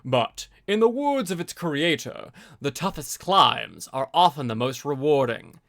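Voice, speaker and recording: This is a streamer with a bright voice and a lively, swinging pitch. The delivery mixes reading aloud with casual live commentary, often interjecting informal, sweary asides. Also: unhurried.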